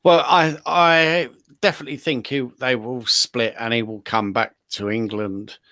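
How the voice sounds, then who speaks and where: A man's voice: medium pitch, steady tone, a little slow, bright, forceful tone, no room sound, studio quality. A man speaking as a talk show guest, giving opinions in casual, conversational chat.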